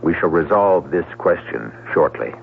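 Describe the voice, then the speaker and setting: A man hosting a 1970s radio drama, narrating in a measured, theatrical, philosophical manner. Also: rumbling low, expressive, vocal fry, heavy background noise. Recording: tinny old radio broadcast, faint music.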